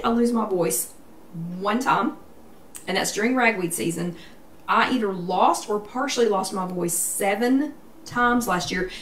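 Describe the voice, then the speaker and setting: A woman hosting a casual live chat, chatty and emphatic, repeating herself for effect and now and then coughing or clearing her throat. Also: medium pitch. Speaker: vlog host. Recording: echoey room, distant mic, faint noise.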